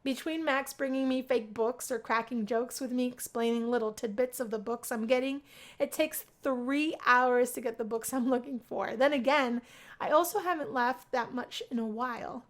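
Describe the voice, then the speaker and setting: A woman with a slightly high voice narrates a visual novel. She reads the scripted first-person story expressively, as a let's play reader. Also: normal speed.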